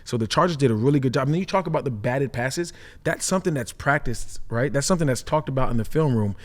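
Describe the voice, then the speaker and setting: Fast-talking former player analyst, man. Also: mid-range voice, animated. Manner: fast, explanatory, frequent "right?" tags. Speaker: former pro safety, co-host analyst. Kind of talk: casual sports chat.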